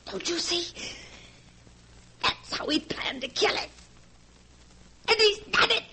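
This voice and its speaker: An actress playing a hysterical, breathless murderess in a melodramatic 1940s radio horror drama. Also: female voice, extremely high pitch, animated, slow, pushed and bright, exaggerated voice, low-quality recording. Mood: panicked horror. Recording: vintage 1940s radio recording.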